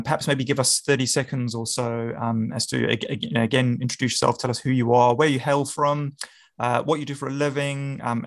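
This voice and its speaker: Stammering friendly male podcast host. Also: medium pitch. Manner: friendly, stammering restarts, laughs often. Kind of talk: casual interview.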